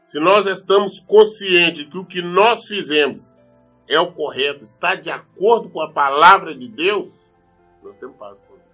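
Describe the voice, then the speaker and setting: A man's voice: high-pitched, unhurried, pushed and bright, faint music behind. A man with a Brazilian accent preaching an earnest, admonishing sermon, stressing his points with emphatic repetition. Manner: emphatic, repeats phrases for stress. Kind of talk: sermon with scripture reading.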